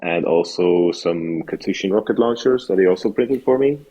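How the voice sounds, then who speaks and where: Male podcast guest, low voice, native Norwegian with matching accent, relaxed informal conversation. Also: normal speed, highs cut off. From Norway. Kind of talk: casual chat.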